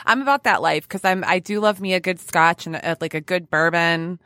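A woman's voice: fairly low pitch, brisk, bright, forceful tone, dry recording, studio quality. A woman hosting a podcast interview in a casual, playful, gushing way with informal banter. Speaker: podcast host.

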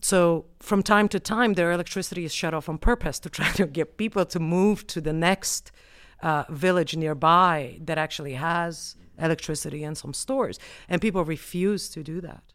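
A woman from the United States speaks as an interview guest, laying out her research in a measured, explanatory way. Her voice is smooth and clear. She is recorded dry and close, with no room sound. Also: on the low side, a bit bright, dry recording. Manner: measured, explanatory, frequent um and right. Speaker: anthropologist guest. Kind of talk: academic interview answers.

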